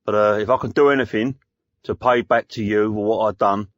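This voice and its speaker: Reformed hard-man guest played by a man, radio comedy: lowish, laconic, blokey, dry and slightly muffled sound. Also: steady tone, brisk, no room sound, lightly acted. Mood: mock-contrite. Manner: matter-of-fact, laconic, blokey. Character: reformed male career criminal, unrepentantly blokey studio guest.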